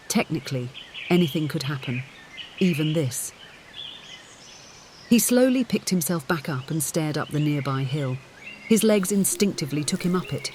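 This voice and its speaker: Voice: mid-range voice, slight background noise. An audiobook narrator reading a scripted story in the third person.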